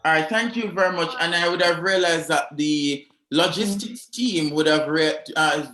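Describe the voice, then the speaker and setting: Male conference host, slightly high voice, friendly and welcoming, roomy video-call audio. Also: normal speed, sharp tone. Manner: friendly, welcoming, runs through logistics. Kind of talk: hosting an online youth conference. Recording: roomy echo.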